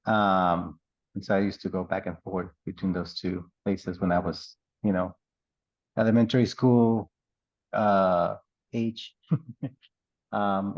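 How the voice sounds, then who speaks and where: A middle-aged man from the Dominican Republic and Puerto Rico, an artist in residence, telling his life story in a relaxed, rambling way with many fillers and laughs. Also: deep voice, husky, no echo, dull audio. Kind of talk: oral history interview.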